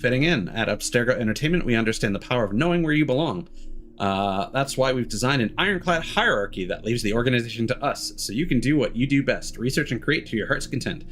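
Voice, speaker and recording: A man's voice: mid-range voice, lively intonation, fast, fairly bright tone, a little hiss or hum. A man streaming a game, reading text aloud and adding cheeky, sardonic asides. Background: music.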